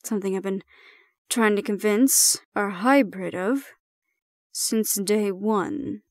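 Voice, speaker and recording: A woman's voice: mid-range voice, slow pace, no echo, lightly acted. A woman plays a composed female official in an audio drama, close and dry. She speaks formally and diplomatically, measuring out each phrase as someone in charge of difficult charges. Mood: composed, reassuring. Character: calm, formal female supervisor handing over a hybrid prisoner. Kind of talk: audio roleplay acting.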